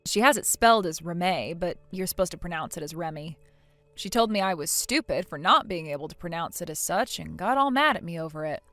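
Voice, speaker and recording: A woman playing a polite, wary young bride in a scripted story drama, with a measured, questioning manner. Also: fairly low pitch, expressive, fairly bright tone, dry recording, faint music behind, pitched below the performer's own voice. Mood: exasperated. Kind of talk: scripted melodrama story dub.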